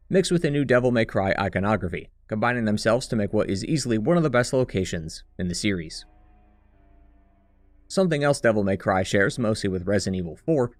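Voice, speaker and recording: A man's voice: fairly low pitch, dry recording, studio quality. A man giving a scripted narration for a video game retrospective.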